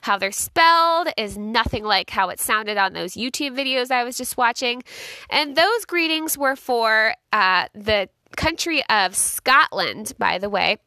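A woman's voice: fairly high pitch, expressive, a little slow, pushed and bright, dry recording, low-quality recording. Stuffy-nosed chatty woman in her thirties. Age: 30s. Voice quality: hyponasal. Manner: chatty and self-mocking, lots of fillers. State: stuffy, congested from a cold. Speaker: podcast host. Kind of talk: casual chat.

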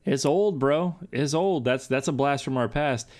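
A man's voice: medium pitch, a little slow, a little mellow. A man co-hosting a podcast, chatting casually and teasing his friend with profane banter. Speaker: podcast co-host. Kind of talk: casual chat.

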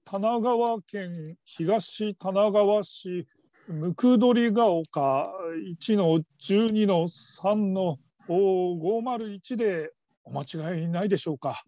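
A man's voice: high-pitched, takes it slowly, dark tone. A man playing a flustered, middle-aged father who works as a call-centre operator, stammering in stiffly formal politeness in a radio drama. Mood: stiffly polite. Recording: dull lo-fi audio.

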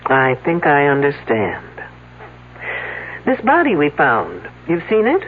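An actress playing a brisk, probing lady police detective in a 1970s radio drama, with pointed, insistent questions. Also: sits low, huge pitch swings, slight background noise, exaggerated voice. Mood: probing, controlled. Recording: narrow, tinny old radio broadcast.

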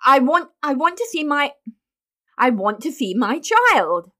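A woman voicing an anxious, flustered gentleman and new father in an audiobook, stammering as he speaks. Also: high-pitched, sing-song, measured pace, a little hiss or hum, voice pushed up, full character voice. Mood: nervous, stammering. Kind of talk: audiobook character voice.

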